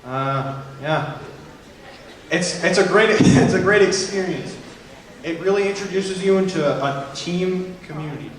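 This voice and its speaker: A man's voice: high-pitched, unhurried. A teenage boy, a student drum major, presenting nervously and self-consciously to younger students. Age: teens. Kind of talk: short school presentation. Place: school auditorium. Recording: echoey hall from far off.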